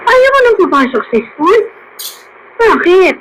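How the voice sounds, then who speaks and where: Caring Filipino school friend, very high-pitched. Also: very animated, a little slow, full character voice. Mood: concerned, consoling. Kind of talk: Filipino radio drama acting. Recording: radio drama with faint noise.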